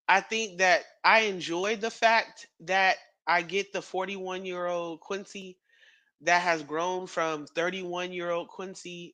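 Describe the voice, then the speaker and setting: A man in his thirties chatting casually as a podcast co-host, rambling with fillers and quick laughs. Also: high-pitched, pushed and bright, no room sound. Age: 30s.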